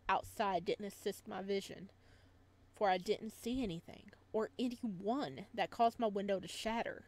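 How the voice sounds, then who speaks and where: A woman playing through a visual novel, reading it aloud with dramatic narration, occasional stumbles and casual asides. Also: medium pitch. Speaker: video game playthrough host.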